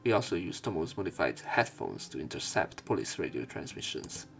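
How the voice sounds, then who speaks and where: A man's voice: deep voice, big pitch swings, a little fast, vocal fry, a bit bright, soft background music. A man reading visual novel text aloud as a let's play narrator, sometimes stumbling and rereading lines.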